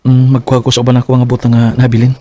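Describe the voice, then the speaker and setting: An actor playing a torn young husband in a radio drama, stammering and pleading. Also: male voice, medium pitch, completely flat delivery, rapid-fire, dark tone, natural voice. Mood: anguished. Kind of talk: Bisaya radio drama acting.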